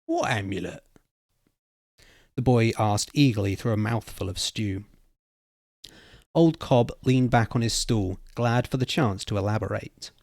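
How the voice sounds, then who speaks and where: A man's voice: lowish voice, measured pace, creaky, darkish tone, no echo. A man giving a dramatic reading of a story as its narrator. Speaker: reader.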